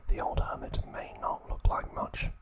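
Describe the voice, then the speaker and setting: A man hosting an ASMR video, speaking in a breathy, hushed whisper with deliberate, separated phrases, close to the microphone. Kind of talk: ASMR, whispered trivia and show-and-tell.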